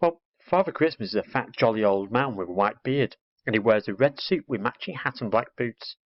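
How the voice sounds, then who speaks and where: A man voicing a proud, bossy little girl who lectures in a high storybook voice, on a lo-fi recording. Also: lively intonation, a little fast, close to an everyday voice. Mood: explaining.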